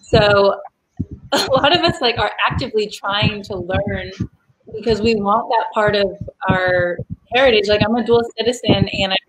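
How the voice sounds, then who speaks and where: A woman's voice: medium pitch, moderate pace, noisy background. A young woman from the United States, talking casually as an interview guest, chatty with many fillers and laughs.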